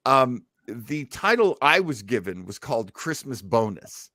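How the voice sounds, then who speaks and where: A man's voice: mid-range voice, measured pace, dry recording, studio quality. A man chatting casually as co-host of a show, rambling and jokey as he introduces things. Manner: animated, rambling, jokey, acts out reactions. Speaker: podcast co-host introducing old radio shows. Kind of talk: casual chat.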